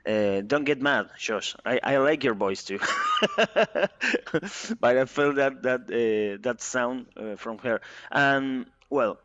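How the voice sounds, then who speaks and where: A man's voice: medium pitch, a bit bright. Hesitant male interviewer on a dull line. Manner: halting, hesitant, many restarts. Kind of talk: casual interview. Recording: dull lo-fi sound.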